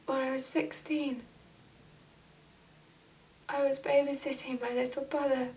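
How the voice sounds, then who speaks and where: A young woman playing a guilt-ridden young woman who confesses in a hushed, halting voice, recorded from a distance. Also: high-pitched, little pitch movement. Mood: grieving. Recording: distant camera mic.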